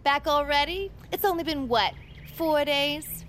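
A woman's voice: high-pitched, measured pace, fairly bright tone, a little hiss or hum. An actress playing a brash, foul-mouthed, volatile girlfriend in an audio drama, with a blunt, mocking, bossy delivery.

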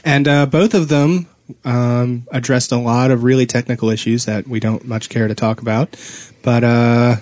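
A man's voice: mid-range voice, steady tone, moderate pace, darkish tone. A man co-hosting a podcast in casual chat, with a teasing, jokey manner. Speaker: podcast co-host.

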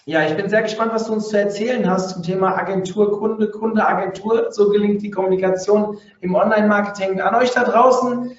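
Brief: male webinar host with a cold, high voice, distant echoey lo-fi sound. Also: fairly even intonation. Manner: chatty, halting, many fillers. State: has a cold, coughing. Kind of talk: hosting a live webinar.

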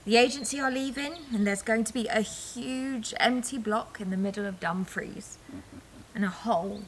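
A woman's voice: fairly high pitch, normal speed, lightly acted. A woman acting an emotional young daughter leaving for a new life, in a naturalistic audio drama. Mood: wistful.

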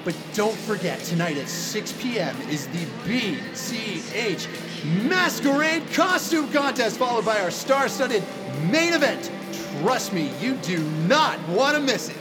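Casting: rousing stage emcee, excited and extremely high for a man, music behind. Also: unhurried. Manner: showy, rousing. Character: hyped male convention emcee. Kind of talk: stage announcement in an audio drama.

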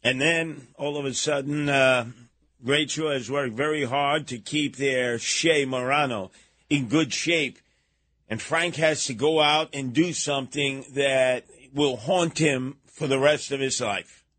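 A man's voice: mid-range voice, a little slow, a bit bright, dry recording. A man hosting a radio talk show with theatrical, teasing banter. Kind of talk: casual radio banter, mocking commentary.

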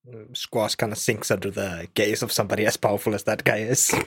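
A man's voice: on the low side, steady tone, fast, fairly bright tone, breathy edge, dry recording, studio quality. A man playing a tabletop game, talking casually in a hesitant, joking way as he describes his character. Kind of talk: casual roleplay chat.

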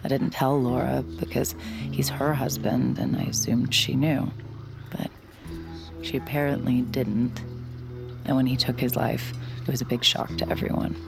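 A sardonic, guarded woman in an audio drama. Her voice sits very deep for a woman and crackles with fry. There is faint music behind her as she talks and narrates. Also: level tone, moderate pace. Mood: reflective. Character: wry guarded younger woman, secret mind reader, anxious. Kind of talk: audio drama dialogue and first-person narration.

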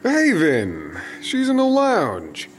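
A young psychiatric patient in an audio drama, blunt and excitable. His high voice leaps up and down, and he stretches his words slowly over faint music. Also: exaggerated voice. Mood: excited. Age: young adult. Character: fit young psychiatric patient, suspicious then eagerly helpful.

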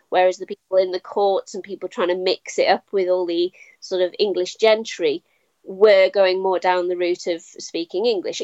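A woman, a guest academic, explaining in a measured, thoughtful way with frequent ums and restarts. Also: mid-range voice, no room sound, muffled recording. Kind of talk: explanatory interview talk.

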